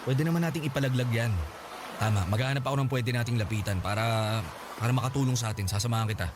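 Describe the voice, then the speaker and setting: A man's voice: mid-range voice, quick pace, natural voice. A man acting an evasive, selfish young boyfriend, defensive and insistent, in a Tagalog radio drama. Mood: coaxing, insistent. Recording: clearly noisy background ambience.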